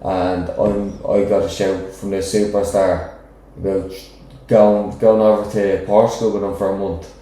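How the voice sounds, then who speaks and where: A young Dublin man talks in a loose, rambling way and keeps checking in with the listener. His voice sits fairly low and sounds dark and mellow. It is recorded in a very echoey room. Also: level tone, unhurried. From Ireland. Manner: rambling, frequent "do you know what I mean". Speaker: professional boxer, guest. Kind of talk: casual interview chat.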